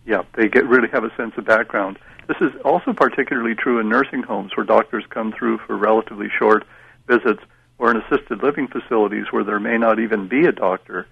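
A man talks as an expert guest on a radio show, steady and explanatory. His voice is gravelly and the sound is dull and dry. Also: lowish voice, fairly quick, no echo.

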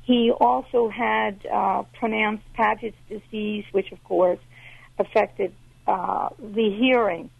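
A woman's voice: fairly even intonation, takes it slowly, creaky, husky, no room sound. A woman caller, speaking hesitantly with many fillers. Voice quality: high-pitched. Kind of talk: phone-in question. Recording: tinny phone line.

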